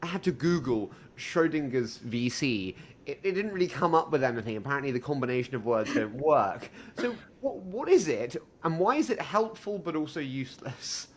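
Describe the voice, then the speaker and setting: Young guy podcast host, excited, rushing his questions, voice all over the place. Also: on the high side. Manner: enthusiastic, fast questions with restarts and fillers. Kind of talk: podcast interview.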